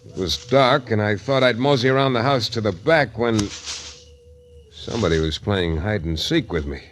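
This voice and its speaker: A man's voice: on the low side, lively intonation, moderate pace, close to an everyday voice. An American man playing a wisecracking, hard-boiled private detective in 1940s radio style, wry, breezy and slangy. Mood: wry narration. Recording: noisy 1940s radio transcription recording.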